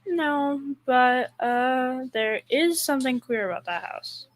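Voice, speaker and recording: A young female performer playing a calm, gossipy, caring wife in a school old-time radio comedy. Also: high voice, slow pace. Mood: wistful gossip. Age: young teen.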